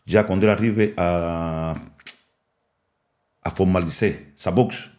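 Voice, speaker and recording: A middle-aged man with a strong Cuban Spanish accent, a Spanish speaker talking as a national boxing coach in an interview, halting, with restarts and repeated words. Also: low voice, steady tone, darkish tone. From Cuba. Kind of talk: interview, mixing French and Spanish.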